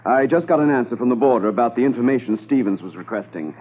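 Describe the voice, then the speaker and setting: A man's voice: mid-range voice, animated, fast, natural voice. Commanding police chief, played by a man on thin old radio. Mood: brisk, businesslike. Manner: crisp, authoritative, giving orders. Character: brisk, commanding senior Mounted Police officer, male. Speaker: actor playing a senior police officer. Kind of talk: 1950s radio drama acting. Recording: narrow, noisy 1950s radio transcription.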